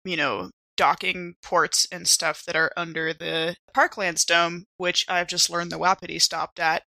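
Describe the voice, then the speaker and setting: A woman with a bright, forward voice full of vocal fry, recorded close and dry. She talks casually as a tabletop player, thinking aloud in informal phrasing. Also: lowish voice, steady tone, a little slow, no echo, low-quality recording. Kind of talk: casual game-table chat.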